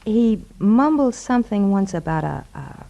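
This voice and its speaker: An American actress playing an earnest, urgent nurse with a halting, pleading manner, in 1940s radio drama style. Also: female voice, medium pitch, very animated, vocal fry, warm tone, highs cut off. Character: earnest, urgent young brunette female nurse.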